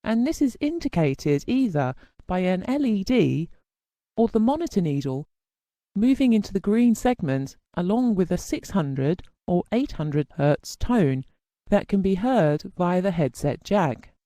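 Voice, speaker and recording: A formal instructional narrator delivers a scripted technical lesson in a measured, explanatory manner. The voice is soft-edged and mellow, with animated rises and falls in pitch. The sound is close and dry. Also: no room sound.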